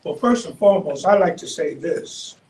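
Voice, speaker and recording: A man, speaking as a panelist in a loud, preacherly way and repeating phrases for emphasis, picked up from a distance. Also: a little high, moderate pace, slight background noise. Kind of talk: impassioned community panel speech.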